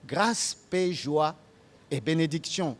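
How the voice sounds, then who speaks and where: A man with a Congolese accent leading a solemn prayer at a memorial gathering, speaking in measured phrases; his first language is Tshiluba. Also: a little high. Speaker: master of ceremonies leading prayer.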